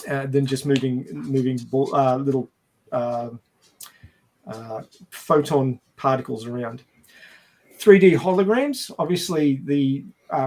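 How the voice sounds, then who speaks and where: A man talking casually about film and science as a podcast panelist, explaining things haltingly with a wry, jokey streak. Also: mid-range voice, darkish tone.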